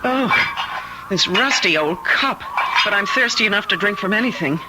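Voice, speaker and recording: A woman's voice: mid-range voice, expressive, moderate pace, natural voice. An actress playing a stranded, impatient, incredulous woman traveler in an old-time radio drama. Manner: demanding, exclamatory. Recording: very noisy old radio recording.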